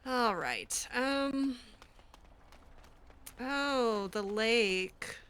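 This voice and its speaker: A woman narrating her roleplay playthrough in a bright, slightly high voice. She talks slowly and casually, musing aloud, with faint music behind her. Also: smooth. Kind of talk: casual in-character game commentary.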